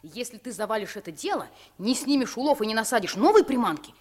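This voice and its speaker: A woman's voice: on the high side, big pitch swings, fast. A woman playing a blunt, wary working-class fisher boy in a radio play. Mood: blunt, bossy. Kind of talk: 1950s Soviet radio play acting.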